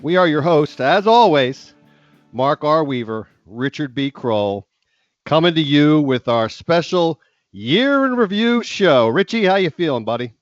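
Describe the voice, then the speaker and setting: A man's voice: fairly high pitch, sing-song, measured pace, no room sound, soft background music. A middle-aged man with a head cold, co-hosting a podcast in casual, rambling chat full of restarts. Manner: rambling, many ums and restarts. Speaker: podcast co-host. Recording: dry, slightly dull sound.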